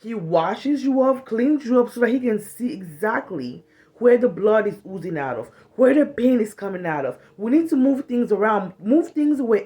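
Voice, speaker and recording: Frank, animated woman from Cameroon. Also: fairly high pitch. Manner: frank and animated, swearing freely, acts out little quoted lines. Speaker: vlogger. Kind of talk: casual talk to camera on trauma healing. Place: small bedroom indoors. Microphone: phone camera at arm's length.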